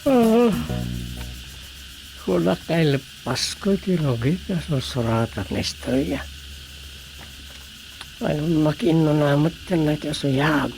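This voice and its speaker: A man's voice: a little high, expressive, slow, creaky, long pauses, darkish tone, faint music behind, exaggerated voice, poor audio. A man playing an easygoing, daydreaming husband in a Filipino radio drama comedy, muttering with a Filipino accent. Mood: drowsy musing.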